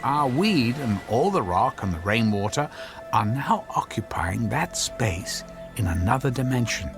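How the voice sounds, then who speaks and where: An earnest, halting physicist husband, animated pitch. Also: male voice, over music. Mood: earnest, explanatory. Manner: halting, stammering explanations. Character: earnest, anxious physicist husband explaining his invention. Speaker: actor in a radio drama. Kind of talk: dramatic radio acting.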